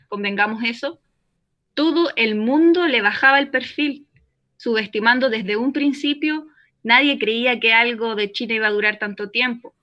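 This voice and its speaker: A woman's voice: on the high side, sharp tone, highs cut off. An actress playing a veteran woman newsreader calling in from an underground bunker, explaining herself firmly in a radio drama. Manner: firm, explanatory, defends her past work. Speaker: journalist and former newsreader.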